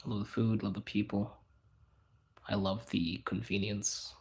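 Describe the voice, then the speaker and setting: A young man hosting a casual livestream, answering chat in a hushed inside voice because people are around him. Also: fairly low pitch, little pitch movement, unhurried. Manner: speaking quietly in an inside voice, laid back. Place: out in public in a city, people around.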